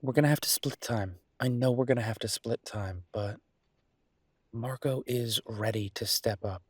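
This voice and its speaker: A man playing an earnest, down-to-earth chef boyfriend in an audio roleplay, talking casually and a little hesitantly in a husky voice. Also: mid-range voice, moderate pace, no echo, studio quality, natural voice. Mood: reassuring, excited. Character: enthusiastic down-to-earth chef boyfriend opening a mountain restaurant. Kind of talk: audio roleplay acting, casual one-sided conversation.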